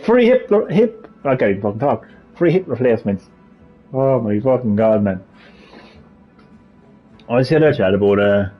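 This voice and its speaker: Man, Cork Irish accent, profane joking streamer, muffled stream audio, faint game music. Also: medium pitch. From Ireland. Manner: profane, jokey, ranting. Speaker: livestream host. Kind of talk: casual live stream chat with viewers.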